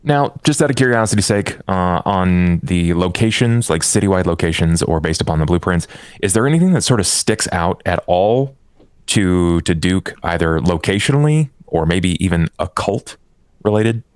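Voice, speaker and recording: A man's voice: low-pitched, animated, moderate pace, clear, resonant tone, dry recording, studio quality. A man who is a player in a tabletop game, talking casually through his moves.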